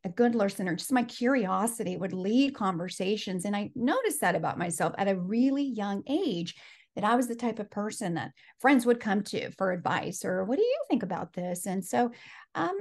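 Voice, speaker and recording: A woman's voice: mid-range voice, lively intonation, moderate pace. A woman in her forties, a life coach whose first language is Spanish, telling her story earnestly over a home video call. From Los Angeles. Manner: earnest, reflective storytelling with frequent laughs. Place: home office. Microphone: video call webcam.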